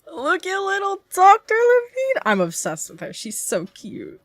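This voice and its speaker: A woman game streamer giving casual, excitable live reactions and gushing over what she watches. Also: very high-pitched, measured pace.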